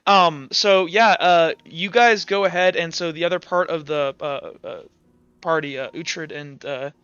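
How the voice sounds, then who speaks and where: A man narrating a tabletop roleplaying game as game master in a halting, informal way. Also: on the high side, fairly bright tone, smooth, no echo, muffled recording.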